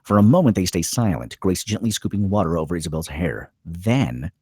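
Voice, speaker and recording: A man's voice: low-pitched, animated, a little fast, darkish tone, husky, no room sound, clean studio sound. A man reading stage directions as the narrator of a screenplay table read.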